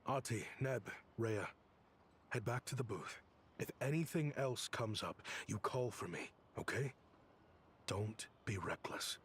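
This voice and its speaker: An actor playing a gentle, earnest, protective father figure in a game, calm and sincere. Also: on the low side, a little slow, slight background noise, close to an everyday voice. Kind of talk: game voice acting.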